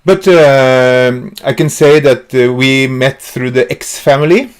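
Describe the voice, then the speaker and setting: A man's voice: mid-range voice, takes it slowly, smooth. Casting: male host, casual interview, rambling, restarts often. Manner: rambling, stumbling questions.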